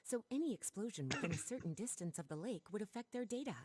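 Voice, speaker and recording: A woman's voice: mid-range voice, expressive, quick pace, a little mellow, a little hiss or hum, lightly acted. A woman playing a languid, teasing librarian. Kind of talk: video game voice acting.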